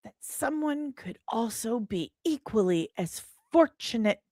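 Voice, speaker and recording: A woman's voice: on the high side, animated, unhurried, warm tone, dry recording, studio quality. A woman hosting a casual entertainment livestream, chatting playfully and teasingly, often repeating phrases for emphasis. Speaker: livestream host. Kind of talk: casual livestream chat about entertainment news.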